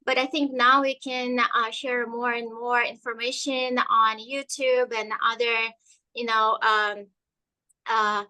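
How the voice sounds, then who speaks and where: A woman's voice: a little high, level tone, slow, sharp tone, rough recording. A woman whose first language is Russian, telling her story as a guest with frequent hesitations and fillers. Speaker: guest energy healer. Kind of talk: casual interview storytelling.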